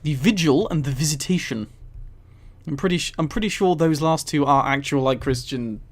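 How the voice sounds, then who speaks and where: A man's voice: on the high side, normal speed. A man casually reading aloud on a livestream and commenting, easily amused and giggly. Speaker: streamer.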